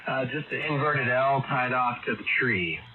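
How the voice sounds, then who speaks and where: A man chatting casually and haltingly as an amateur radio operator over a shortwave link. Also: mid-range voice. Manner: hesitant, halting. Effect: heard over shortwave ham radio. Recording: muffled shortwave radio link, loud static.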